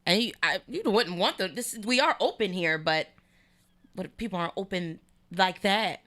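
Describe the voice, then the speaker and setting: A woman's voice: mid-range voice, lively intonation, moderate pace, fairly bright tone. A woman chatting casually and frankly on a podcast, informal and slangy.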